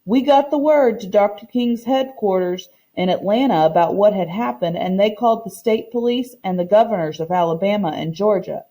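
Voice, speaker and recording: A woman reading aloud the part of a proud, earnest older woman who recounts her community's history in a storybook, in measured phrases. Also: mid-range voice, warm tone, natural voice, low-quality recording. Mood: solemn, sad.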